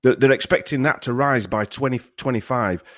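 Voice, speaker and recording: A man's voice: mid-range voice, brisk, a bit bright, no room sound, clean studio sound. A man hosting a podcast in a casual discussion style. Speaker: podcast host.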